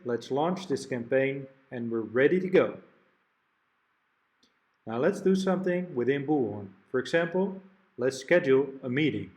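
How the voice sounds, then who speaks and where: A man talking through a software product demo one step at a time. His voice sits at a middle pitch and moves along in a plain, explanatory way. Now and then he stumbles and restarts a word. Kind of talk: software walkthrough narration.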